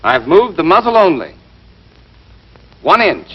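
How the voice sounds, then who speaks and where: A man playing a brisk, exacting American army rifle instructor in a scripted 1940s training film, drilling and quizzing with emphasis. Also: a little high, big pitch swings, breathy edge, exaggerated voice. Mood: firm, emphatic. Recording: dull band-limited 1940s film soundtrack.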